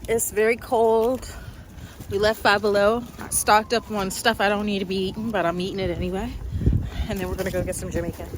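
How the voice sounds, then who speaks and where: A woman's voice: mid-range voice, level tone, brisk, background noise. A woman vlogging her day out with her family, chatty and playful, talking to the phone camera while out at shops and in the car. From Maryland, USA. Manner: playful, chatty, laughing asides. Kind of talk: casual vlog narration. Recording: music playing behind the voice.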